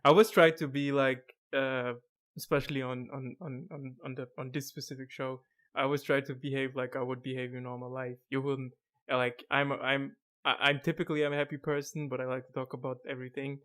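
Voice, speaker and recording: Give me a monotone, laughing host. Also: male voice, mid-range voice, brisk. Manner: monotone, laughs often, many restarts. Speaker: podcast host. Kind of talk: casual video-call chat.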